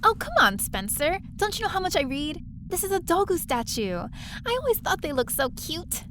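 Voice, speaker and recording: A woman's voice: very high-pitched, big pitch swings, moderate pace, noisy background. A woman playing a chatty, bookish young heroine in a space-opera audio drama, theatrical and sardonic, full of casual asides. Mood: excited. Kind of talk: first-person scripted audio drama narration.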